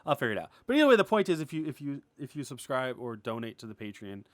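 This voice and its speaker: A man giving a casual, unscripted creator update, rambling and excitable, starting and stopping his phrases as he goes. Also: mid-range voice, fast, dry recording. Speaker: animator and web series creator giving an update.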